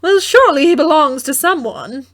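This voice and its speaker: Woman voicing a high-voiced, practical farm mother. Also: expressive, normal speed, a bit bright. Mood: doubtful. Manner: exclamatory, practical. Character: practical, easily surprised farm mother in fairy tale. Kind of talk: fairy tale audiobook character voice.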